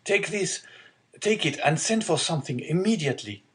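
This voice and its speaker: A man's voice: on the high side, lively intonation, moderate pace, a bit bright, voice pushed up. A man voicing an eager, devoted young sailor in an audiobook story, speaking in an earnest, urgent way. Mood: eager, generous.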